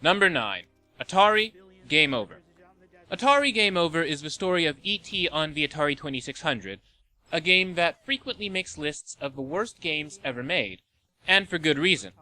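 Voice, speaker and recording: Guy narrating a top ten video essay, kinda high sharp voice, snarky and opinionated. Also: animated, moderate pace, soft background music, poor audio. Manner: opinionated, sardonic. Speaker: host of a video game movie list show.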